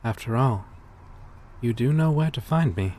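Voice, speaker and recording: A man playing a courteous, lonely vampire in an ASMR roleplay, speaking softly and formally with hesitant pauses. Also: medium pitch, lively intonation, natural voice. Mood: courteous, fond. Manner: soft-spoken, formal, polite, hesitant pauses. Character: gentle, courteous old-souled male vampire, lonely former fisherman. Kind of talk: ASMR roleplay audio drama.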